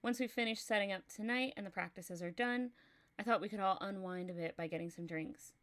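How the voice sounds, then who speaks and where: A woman's voice: mid-range voice, a little fast, fairly bright tone. A young woman from Texas with a Texan accent, reading a story aloud on a livestream and chatting casually, laughing often.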